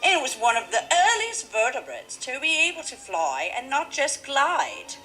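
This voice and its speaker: Theatrical high-pitched woman presenter over music. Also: very animated, normal speed, pushed and bright, rough recording. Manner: theatrical, exclaiming. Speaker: presenter of a student educational newsreel. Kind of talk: playful mock documentary presenting.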